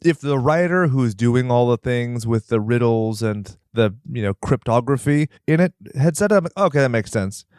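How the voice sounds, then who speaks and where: A man's voice: medium pitch, lively intonation, moderate pace, few pauses, a little mellow, clean tone, no echo, clean studio sound. A man hosting a podcast, chatting casually about movies in a joking, playfully theatrical manner. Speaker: podcast host. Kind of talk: casual movie review chat.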